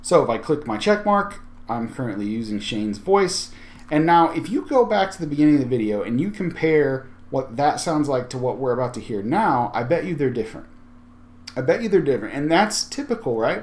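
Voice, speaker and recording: A man talking informally through a software tutorial, thinking aloud as he goes. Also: fairly high pitch. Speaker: tech tutorial host. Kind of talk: casual screen-share tutorial.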